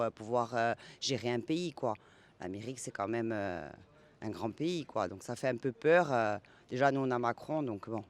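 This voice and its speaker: Ordinary guy, middle pitch, giving off-the-cuff opinions in an interview. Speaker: passer-by being interviewed. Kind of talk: casual spontaneous opinion.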